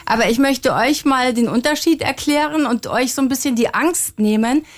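A woman hypnotherapist as a radio studio guest, explaining in a calm way. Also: high-pitched, moderate pace.

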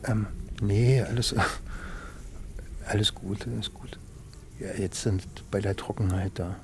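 An actor playing an easygoing, reassuring village man who talks casually and haltingly in a naturalistic radio play. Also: fairly low pitch, fairly even intonation, darkish tone, slight background noise.

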